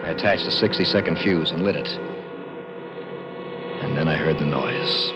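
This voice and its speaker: A man's voice: rumbling low, moderate pace. An actor playing a plain-spoken American garage mechanic in his thirties, narrating an old radio drama in an urgent, folksy way. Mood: wondering, tense. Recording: dull old radio recording with music.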